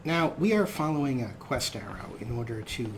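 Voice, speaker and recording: Male let's play host, mid-pitched, wry and talkative showman style, noticeable background noise. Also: sing-song, normal speed. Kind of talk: gameplay commentary. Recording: clearly noisy, game ambience underneath.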